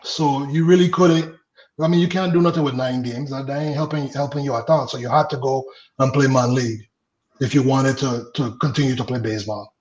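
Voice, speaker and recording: A man in his late thirties from the Virgin Islands, a guest telling stories about his baseball days in a relaxed way, laughing easily and trailing off with fillers. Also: medium pitch, a little fast, reverberant, dull audio. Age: late 30s. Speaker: guest, former baseball pitcher. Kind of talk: casual interview storytelling.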